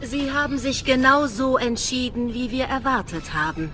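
A woman's voice: high-pitched, moderate pace, a bit bright, a little hiss or hum. A woman playing a polite, menacing alien android envoy in a radio drama, formal and condescending. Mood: cool, formal.

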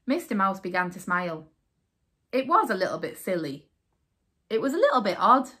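A woman's voice: mid-range voice, very animated, normal speed, bright, forceful tone, breathy edge. A woman in her thirties hosting storytime, reading aloud to young children in a sing-song way.

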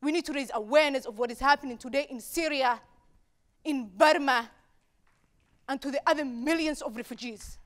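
A young woman from Somalia giving a prepared speech on a dark auditorium stage, repeating key phrases with deliberate pauses. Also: high-pitched. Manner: rhetorical repetition, deliberate pauses. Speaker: speaker at a campus talk event.

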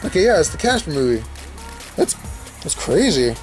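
Young guy thumbing through old comics, kinda high voice, rambly and sweary, quiet music under it. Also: normal speed, low-quality recording. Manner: rambling, excitable, swears casually. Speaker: podcast host opening comics. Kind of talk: casual unboxing commentary. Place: indoors at home, filming comics on a bed.

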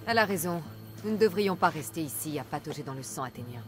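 A tough, wry mercenary woman with a lowish voice. She talks coolly and with self-assurance, as in a video game drama, while music plays strongly behind her. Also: lively intonation, fast. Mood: steady, decisive. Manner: cool, dry, self-assured. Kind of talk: video game voice acting in French. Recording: game dialogue over loud music.

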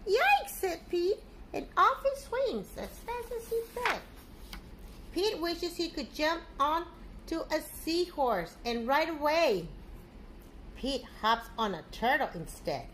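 Middle-aged woman, expressive storybook read-aloud, slow delivery, swooping lively intonation, addressing small children, some background noise. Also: very high voice, rough recording. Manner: animated, simple phrasing addressed to children. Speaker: teacher reading to children. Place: living room at home, indoors.

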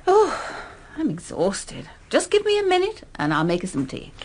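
Genteel English wife, radio drama, brisk, animated, fairly high voice. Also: female voice. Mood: breezy, weary.

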